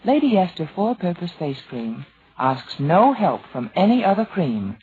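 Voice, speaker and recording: Lowish, animated woman in vintage radio ad. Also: unhurried. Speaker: commercial spokeswoman. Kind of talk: 1940s radio advertising read. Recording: noisy, tinny vintage radio recording.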